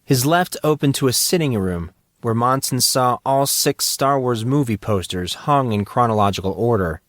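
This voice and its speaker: A man's voice: mid-range voice, lively intonation, normal speed, no echo, studio quality. A man reading scripted fiction as an audiobook narrator.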